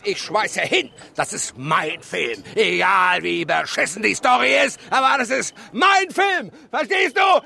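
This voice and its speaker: An actor playing a foul-mouthed, choleric film director in a comic radio drama, cursing and bullying in bursts. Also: male voice, high-pitched, vocal fry, bright, forceful tone, exaggerated voice. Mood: outraged tantrum. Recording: music playing behind the voice.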